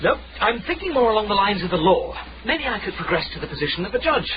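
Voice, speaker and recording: An actor playing a cheeky, excitable sedan-chair man in a period radio drama, jaunty and joking. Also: high-pitched, fast, crowd noise. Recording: muffled, very noisy radio drama recording.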